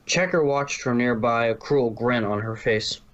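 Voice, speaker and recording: A man's voice: mid-range voice, measured pace, fairly bright tone, highs cut off. A man reading scripted story narration, now and then stumbling and rereading a phrase.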